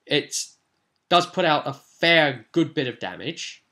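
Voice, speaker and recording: A man's voice: a little high, very animated, slow pace, sharp tone. A man speaking as a game master, talking through a tabletop role-playing session in a rambling, conversational way with bursts of emphasis. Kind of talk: informal vlog recap and advice on a tabletop role-playing session.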